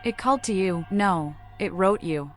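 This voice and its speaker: A woman's voice: mid-range voice, moderate pace, faint music behind, natural voice. Woman playing a cryptic, unhinged vampire woman. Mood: probing, accusing. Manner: cryptic, terse, odd phrasing. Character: cryptic, unhinged female vampire with eerie playful temper. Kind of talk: video game voice acting.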